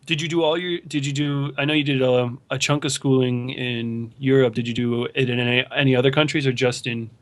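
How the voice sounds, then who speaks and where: A man's voice: mid-range voice, fairly even intonation, a little fast, few pauses. A man hosting a casual interview, asking his guest friendly, curious questions. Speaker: host. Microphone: online call mic.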